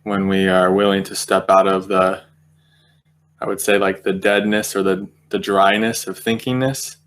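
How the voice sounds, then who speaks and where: A man leading an unscripted guided meditation, speaking gently and slowly, with long pauses as he feels his way through each thought. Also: low-pitched, little pitch movement.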